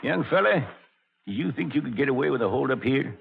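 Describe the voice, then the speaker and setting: Gravelly, blustering old frontier judge. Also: male voice, medium pitch, expressive, a little fast, a little hiss or hum. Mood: stern, sly. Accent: American Western drawl. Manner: folksy, bombastic, self-important. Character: blustering, folksy self-appointed frontier saloon judge, older man. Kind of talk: old-time radio drama acting. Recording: muffled vintage radio recording.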